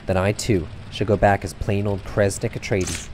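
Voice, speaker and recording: A man's voice: on the low side, fairly even intonation, moderate pace, background noise, voice pushed down, natural voice. A man voicing an earnest, anguished young soldier in a role-playing game, speaking formally and with passion. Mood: resolute. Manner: formal, impassioned.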